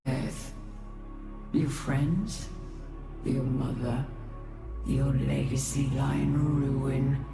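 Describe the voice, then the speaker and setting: An actor playing a grim, scornful foe in a video game, with a measured, solemn, accusing manner. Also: male voice, medium pitch, fairly even intonation, creaky. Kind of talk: dramatic video game monologue. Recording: echoey space, music behind.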